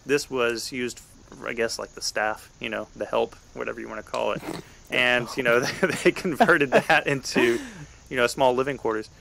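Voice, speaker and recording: A man in his thirties with a gravelly voice, telling a personal story conversationally as a podcast host. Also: medium pitch, steady tone, normal speed, pushed and bright.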